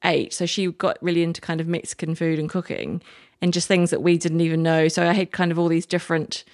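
Woman, New Zealand accent, chef guest, lowish gravelly voice, enthusiastic rambling. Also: quick pace, a little mellow. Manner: chatty, enthusiastic, rambling with many restarts. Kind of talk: casual interview chat.

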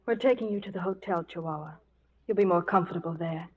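A woman playing a gentle, caring lady tending the wounded in a 1940s western radio drama. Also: fairly low pitch, quick pace, husky. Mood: gentle concern. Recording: dull, faintly noisy 1940s radio recording.

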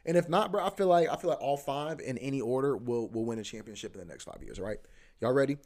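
A man's voice: medium pitch, fast, darkish tone. A man hosting a podcast, rambling casually about sports, repeating himself, swearing and saying "bro" a lot. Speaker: podcast host.

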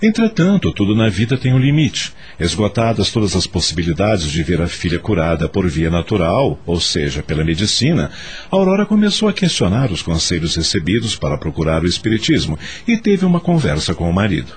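Radio drama narrator, Brazilian man: lowish voice, highly varied intonation, dramatic scripted delivery. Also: sing-song, fairly quick, breathy edge, soft background music.

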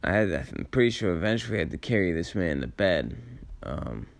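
A man with a raspy voice, tired late in the day, telling personal stories in a casual, rambling, halting and reflective way with laid-back slang. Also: low-pitched, very animated. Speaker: storyteller sharing personal memories.

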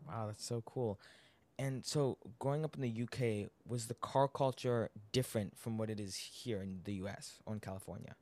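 A man's voice: lowish voice, normal speed, darkish tone. A young man hosting a podcast interview, asking earnest questions and reacting eagerly to the answers. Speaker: podcast host.

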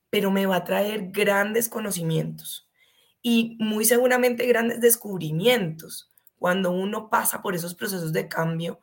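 A woman with a Colombian accent explaining conversationally as an expert guest, emphatic and fond of rhetorical questions. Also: medium pitch.

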